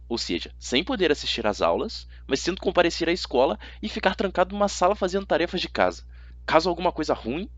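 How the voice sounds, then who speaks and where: A man with a Brazilian accent reading a first-person youth fantasy novel as an amateur audiobook narrator, in an expressive, conversational storytelling way. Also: high voice, no echo, lo-fi sound.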